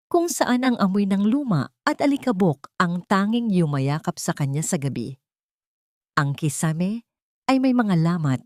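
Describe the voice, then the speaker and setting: A woman reading a scripted dramatic love story as narrator, in a solemn, melodramatic manner with deliberate phrasing. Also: medium pitch, mellow, no room sound, clean studio sound.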